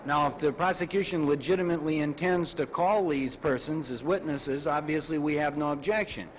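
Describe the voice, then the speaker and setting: A man's voice: on the high side, steady tone, a little fast, a little hiss or hum, poor audio. An American man, a defense attorney answering the press in careful, formal and somewhat hesitant wording. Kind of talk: press interview remarks.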